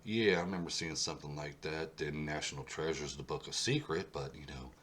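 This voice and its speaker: A man's voice: a bass voice, brisk, a bit bright. A congested man hosting his own history video, talking plainly and insisting on his points with repetition. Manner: insistent, repeats points for emphasis. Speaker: host of a history mystery channel. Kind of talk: solo video talk over a map.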